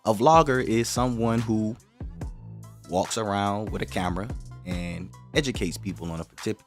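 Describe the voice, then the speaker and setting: Deep-voiced man hosting a casual livestream. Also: moderate pace, faint music behind. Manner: relaxed, direct, slangy, explaining points at length. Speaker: livestream host. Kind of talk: casual livestream talk to viewers.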